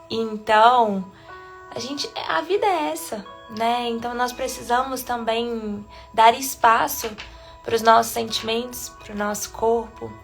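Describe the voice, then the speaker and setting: A woman with a Brazilian accent and a congested cold leads a casual live devotional, chatty with soft laughs between phrases. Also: a little high, gravelly. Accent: Brazilian Portuguese. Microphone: phone or webcam livestream.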